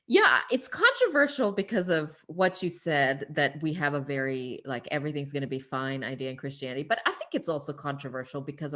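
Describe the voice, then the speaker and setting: A woman's voice: sits low, a bit bright. A woman talking through Bible stories in conversation, earnest and emphatic. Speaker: podcast discussion partner.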